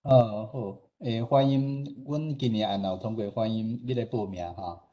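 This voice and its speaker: A man with a Taiwanese accent explaining things informally and modestly in an online discussion, in a halting way. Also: on the low side, mellow. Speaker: radio station director and radio drama producer, guest speaker. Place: online meeting.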